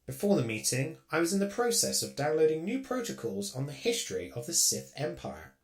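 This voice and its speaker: A courteous, dutiful droid, acted by a man from a sci-fi roleplay series. The speech is formal and precise, with lively swoops in pitch and a noticeable creak. It defers politely to its masters. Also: fairly quick. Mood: informative, precise. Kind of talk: Minecraft roleplay web series voice acting.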